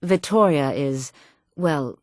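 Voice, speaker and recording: A sultry townswoman from a fantasy game. Her voice sits low and swings widely in pitch, recorded dry and close. She sounds self-possessed, knowing and flirtatious. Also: lively intonation, takes it slowly, no room sound. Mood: flirtatious, wistful. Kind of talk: scripted video game voice lines.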